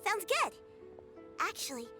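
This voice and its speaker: A woman's voice: very high-pitched, big pitch swings, unhurried, exaggerated voice. A woman voicing an eager, polite child disciple in a video game, earnest and chatty, with music behind. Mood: eager curiosity.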